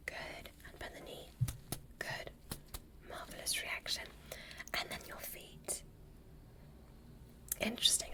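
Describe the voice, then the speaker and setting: Woman whispering as a curious alien doctor. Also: lightly acted. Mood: curious, clinical. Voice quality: breathy whisper. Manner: gentle, unhurried, formal and inquisitive. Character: calm, curious alien doctor examining a human. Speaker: ASMR roleplay performer. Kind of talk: ASMR medical exam roleplay.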